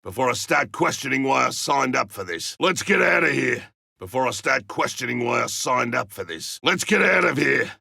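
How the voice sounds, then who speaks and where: An actor voicing a gruff, wry, battle-hardened lone monster hunter in a video game, with a blunt way of calling out. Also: male voice, medium pitch, sing-song, normal speed, pushed and bright, dry recording, studio quality, heavily acted. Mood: grim, weary. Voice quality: gravelly, raspy. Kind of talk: video game combat callouts.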